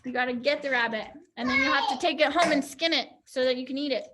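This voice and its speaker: A woman's voice: high-pitched, quick pace, background noise. A young woman teacher leading a pantomime activity online, upbeat and encouraging as she explains each step.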